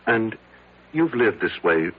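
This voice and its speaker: An actor playing an attentive doctor who puts measured, probing questions to a patient in an old-time radio drama. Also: male voice, on the low side, sing-song. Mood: curious. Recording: tinny, faintly noisy old radio.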